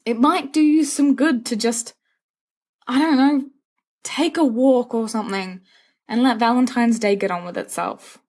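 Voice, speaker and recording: A woman's voice: fairly high pitch, unhurried, a little mellow, voice pushed up. A woman voicing a sensible teenage schoolboy in a story, dry, weary and reproving. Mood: wary, weary.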